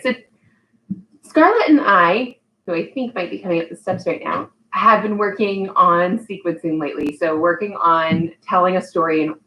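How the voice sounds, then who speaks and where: A woman's voice: lowish voice, bright, forceful tone, poor audio. A woman hosting a live storytime for young children, speaking in an encouraging, child-directed way that turns sing-song when she leads activities. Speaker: early childhood storytime host and teacher.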